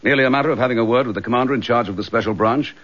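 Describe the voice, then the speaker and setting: An actor playing a steady, dryly courteous middle-aged English chief inspector in a radio detective drama. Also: male voice, medium pitch, very fast, close to an everyday voice. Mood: businesslike, dry. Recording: dull lo-fi radio drama recording.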